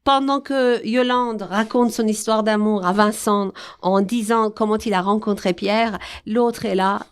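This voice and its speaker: A woman's voice: medium pitch, steady tone, a little slow, clean tone. A woman from Iran, a guest on a radio interview, speaking earnestly with frequent halts and restarts. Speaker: guest, festival organizer.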